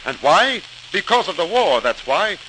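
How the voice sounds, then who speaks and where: An actor playing a distraught Swiss vineyard owner who pleads emphatically in 1940s radio drama style. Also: male voice, high voice, big pitch swings, normal speed, bright, forceful tone, full character voice. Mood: worried, pleading. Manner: emphatic, repetitive, foreign-flavored phrasing. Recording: noisy crackling old radio recording.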